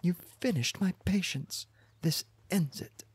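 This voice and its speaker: A man's voice: high voice, unhurried, breathy edge, dry recording, voice pushed up, exaggerated voice. A man voicing a small, furious, scolding wife in an audiobook, wailing and reproachful. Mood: scolding, indignant.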